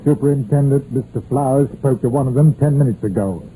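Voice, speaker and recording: An actor playing a dutiful police sergeant reporting plainly in clipped sentences, in an old radio drama. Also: male voice, mid-range voice, fairly quick, mellow, lightly acted. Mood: matter-of-fact. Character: dutiful, matter-of-fact police detective sergeant. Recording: muffled old radio broadcast, hissy.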